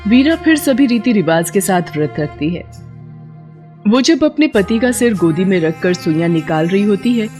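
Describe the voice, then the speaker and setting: A woman's voice: on the low side, darkish tone. Woman narrating a folktale over music. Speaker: storyteller.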